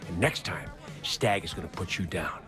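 An actor playing a gruff, threatening male military commander. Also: low-pitched, moderate pace, natural voice. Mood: menacing. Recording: game audio over music.

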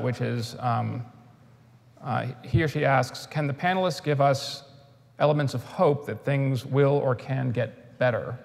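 A man in his forties moderates a panel, reading audience questions briskly and easily. He speaks into a lapel mic on an auditorium stage. A long hall reverb and faint room noise sit under his voice. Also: medium pitch, creaky.

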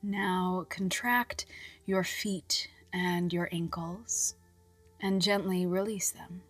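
Very slow, soft-voiced woman guiding relaxation. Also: lowish voice, fairly even intonation, dry recording. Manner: soothing, measured, with long pauses. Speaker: guided meditation narrator. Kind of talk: scripted hypnobirthing relaxation guidance. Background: music.

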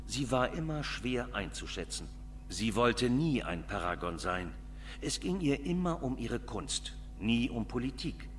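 A man voicing a guarded old dwarf lord in a video game, formal, measured and stern. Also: on the low side, a bit bright, a little hiss or hum. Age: older.

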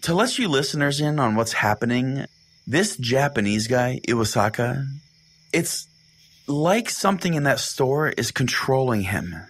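A man playing an irritated, foul-mouthed small-town pastor, telling strange tales deadpan on a comedy podcast. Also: medium pitch, unhurried, breathy edge, heavily acted. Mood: wry, irritated.

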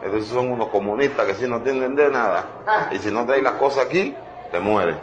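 A man's voice: mid-range voice, animated, normal speed, dull audio, slight background noise. A man mocking the emptiness of a hospital ward while filming it. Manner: scornful, mocking. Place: empty hospital ward indoors.

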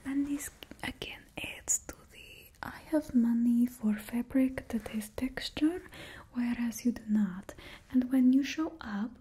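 A suave, confident supervillain stylist whispers right into the mic in a put-on Russian accent, as a young woman acts her out. The whisper sits a little high but mellow, and she takes her time. She fusses over the fit in an ASMR roleplay, drifting close and then farther from the mic. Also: slow, husky, full character voice. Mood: soft, fussing. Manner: fussy, attentive, drops small words. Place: indoor room in front of a painted backdrop. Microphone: close ASMR mic, moving near and far.

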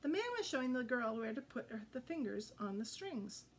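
High-voiced middle-aged woman reading a storybook. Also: big pitch swings, a little fast, a little hiss or hum. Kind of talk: expressive children's storybook read-aloud. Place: indoor room with green curtain backdrop.

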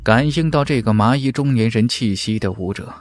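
A man's voice: medium pitch, normal speed. A man reading scripted fantasy narration dramatically as an audiobook narrator. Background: background music.

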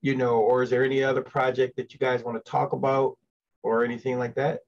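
A man's voice: medium pitch, flat delivery, fairly quick. A middle-aged man hosting an interview in a casual, chatty way over a video call. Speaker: interview host. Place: indoor room on a video call. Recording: dull lo-fi call audio.

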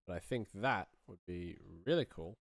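A man's voice: deep voice, expressive, moderate pace. A man in his thirties giving a casual demonstration as a sound designer, thinking aloud at a close mic. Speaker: sound designer, tutorial host.

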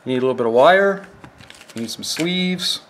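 A man's voice: fairly high pitch, animated, normal speed, fairly bright tone, a little hiss or hum. A man giving a plain, step-by-step how-to demonstration at a work table. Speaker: fishing tackle instructor.

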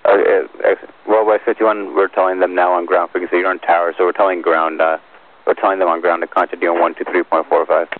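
Over a muffled aviation radio, a man speaks as a tower controller. His voice is very low, and his instructions come in clipped, procedural phrases. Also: little pitch movement, quick pace. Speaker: air traffic tower controller. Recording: muffled narrow-band tower radio recording.

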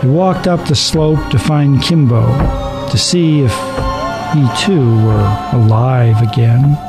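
A man's voice: mid-range voice, slow. A man narrating a radio play as scripted, dramatic storytelling. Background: background music.

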